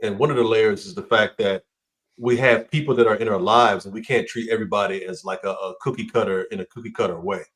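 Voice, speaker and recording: A man co-hosting a casual podcast discussion, talking in a measured way and repeating words as he starts. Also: on the low side, steady tone, fairly bright tone. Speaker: podcast co-host.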